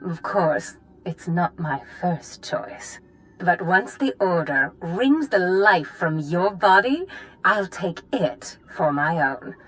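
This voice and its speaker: Swooping, gloating villainous sorceress. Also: female voice, fairly low pitch, animated, slow, fairly bright tone, soft background music, low-quality recording. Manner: theatrical, purring, taunting. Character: sly, gloating old sorceress villain, mockingly sweet. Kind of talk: video game voice acting.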